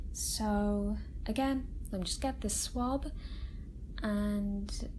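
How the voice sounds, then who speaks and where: A woman playing a kind research doctor in a soft-spoken ASMR roleplay, talking gently, hesitantly and reassuringly to an alien patient. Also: a little high, poor audio. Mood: encouraging. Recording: steady ambient noise bed. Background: sci-fi room ambience.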